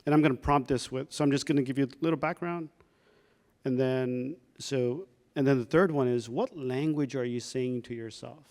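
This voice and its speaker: A man, a doctor leading a workshop, guiding a reflection exercise with slow, calm prompting questions. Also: mid-range voice.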